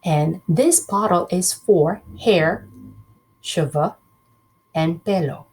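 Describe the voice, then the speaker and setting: A woman's voice: on the low side, slow pace, a little mellow, slightly rough, poor audio. A woman teacher reading a children's book aloud, expressively, breaking off to question her pupils directly.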